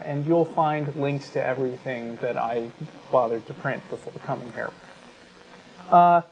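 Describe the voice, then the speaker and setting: A man talks in a conversational, halting way as a show host, thinking aloud as he goes. His voice sits at a medium pitch. The recording is muffled and lo-fi, with faint noise behind him. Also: darkish tone. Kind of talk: conversational commentary on an atheist talk show. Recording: muffled lo-fi audio with background noise.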